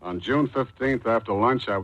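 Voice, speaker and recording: An actor playing a wry, clipped, world-weary private detective in the style of 1940s radio drama. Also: male voice, mid-range voice, moderate pace, a bit bright, highs cut off, natural voice. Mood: brisk dictating.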